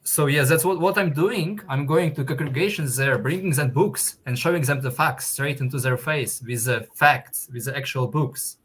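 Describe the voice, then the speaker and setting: A young man from Kazakhstan chatting as a guest on a call, in halting English full of fillers. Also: a little high, a bit bright, slightly rough. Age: young adult. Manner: halting, filler-heavy non-native English. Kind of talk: casual video call chat.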